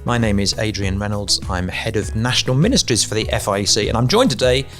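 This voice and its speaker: A man hosting a podcast interview, chatty and joking, asking quick follow-up questions. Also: fairly low pitch, expressive, soft background music. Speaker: podcast host. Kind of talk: conversational interview.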